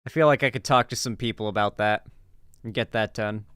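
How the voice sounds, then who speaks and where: A man's voice: on the low side, fairly quick, a bit bright, smooth, no echo, studio quality. A man doing casual live stream commentary as he reacts to videos and chats with viewers, informal and sweary with gamer slang.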